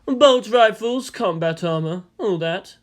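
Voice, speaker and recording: A man voicing a polite, self-effacing male military strategist in a story, speaking in an understated, measured way. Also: high voice, expressive. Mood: polite, requesting.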